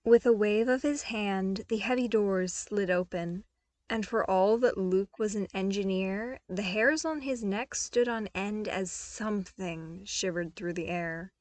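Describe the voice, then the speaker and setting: A woman's voice: medium pitch, unhurried, a little mellow, no room sound. A woman reading scripted fiction expressively as an audiobook narrator, close to the microphone.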